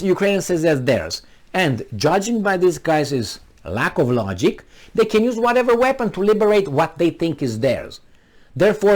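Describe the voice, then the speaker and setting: A man with a Romanian accent, originally from Transylvania, delivering an argumentative political monologue full of rhetorical questions. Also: fairly high pitch. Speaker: commentary channel host.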